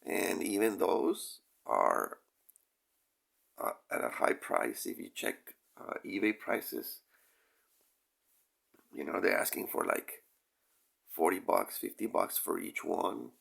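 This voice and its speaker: A man getting over a cold, with a crackly voice, hosting a casual toy review in a hesitant, rambling way with frequent pauses. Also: deep voice, expressive, a bit bright. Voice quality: crackly, gravelly. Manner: hesitant, rambling, pausing often, frequent throat clearing. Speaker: toy review channel host. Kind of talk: casual product review talk.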